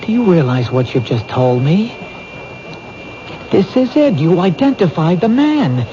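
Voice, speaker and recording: An actor playing a determined male police detective, reasoning aloud in a lively, insistent way in a 1970s radio drama. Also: high-pitched, a little slow. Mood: urgent. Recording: dull lo-fi vintage radio broadcast with hiss.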